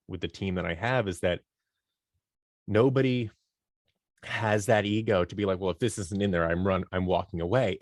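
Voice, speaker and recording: Low-voiced man in a dry interview recording. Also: no room sound. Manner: animated storytelling, acts out imagined dialogue. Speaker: guest, creative company owner and director. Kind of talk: conversational podcast interview answers.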